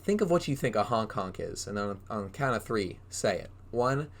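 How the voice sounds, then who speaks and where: A man reading a storybook aloud as a preschool drama teacher, in an exaggerated, animated way, prompting young listeners with questions. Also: fairly low pitch, brisk, vocal fry. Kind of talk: interactive children's read-aloud.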